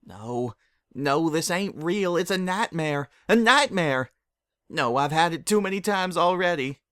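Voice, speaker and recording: Storybook role: tearful, stubborn farm mare, acted by a man, pitched up, close dry sound. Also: lively intonation, measured pace, bright, forceful tone, clear, resonant tone, dry recording. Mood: panicked denial. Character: distressed young country mare, stubborn and tearful.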